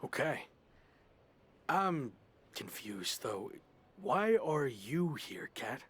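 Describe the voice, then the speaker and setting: A man playing a stubborn, down-on-his-luck pro wrestler in a video game, husky-voiced, with a dry, weary, defensive manner. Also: mid-range voice, sing-song, drawn-out words, fairly bright tone, exaggerated voice. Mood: subdued. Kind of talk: video game cut-scene acting.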